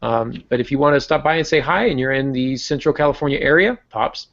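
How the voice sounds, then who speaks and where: A man's voice: medium pitch, a little fast, vocal fry, fairly bright tone. A man hosting a podcast in a casual, easygoing, lightly joking way. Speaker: podcast host. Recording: muffled lo-fi online-call audio.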